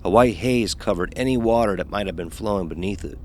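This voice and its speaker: A man's voice: on the low side, fairly quick, a little hiss or hum, close to an everyday voice. A man playing a sarcastic young fraternity pledge who narrates his own story in an audio horror drama, wry and sardonic. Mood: wry storytelling. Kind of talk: scripted first-person horror narration with dialogue.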